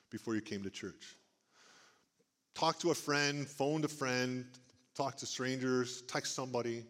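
A middle-aged man with a big booming voice, speaking to a church congregation in a folksy preaching manner. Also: fairly bright tone. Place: church sanctuary. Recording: long reverb of a church hall. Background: church hall.

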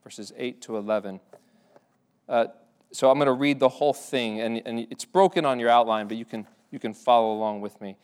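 A man's voice: medium pitch, a little fast, a little mellow, smooth. A man preaching a sermon to his congregation in a church, talking in a conversational way with many restarts and fillers. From Ireland. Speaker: pastor. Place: church sanctuary.

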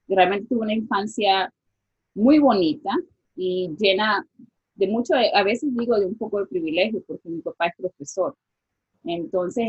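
A woman from Venezuela with a Venezuelan accent, a guest scientist talking casually in an interview and pausing with fillers. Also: medium pitch, husky, muffled recording. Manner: reflective, with many fillers like "este" and "o sea".

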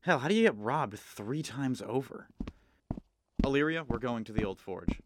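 A man playing a brooding kobold detective in a noir audio drama, wry and terse, with dramatic pauses. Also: medium pitch, expressive, very noisy, close to an everyday voice. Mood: pondering. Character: brooding male kobold detective, hard-boiled noir sleuth.

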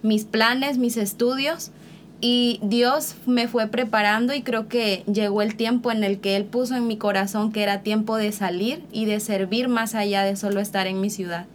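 A woman from Veracruz, telling her personal testimony in a warm and sincere way. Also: medium pitch, fairly even intonation, moderate pace, a little hiss or hum. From Veracruz, Mexico. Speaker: missionary guest.

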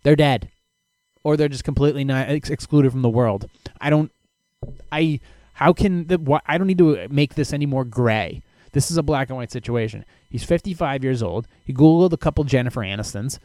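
A man's voice: medium pitch, brisk, dry recording. Blunt, profane podcast man. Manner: blunt, animated and profane, with many restarts. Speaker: podcast host answering listener questions. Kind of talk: casual solo podcast advice.

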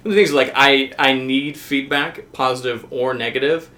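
American guy chatting openly, bright voice, says like constantly, kinda halting. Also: medium pitch, steady tone. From southern United States. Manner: halting, heavy use of "like" as filler; open, self-revealing. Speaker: podcast guest. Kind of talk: casual, candid conversation about himself.

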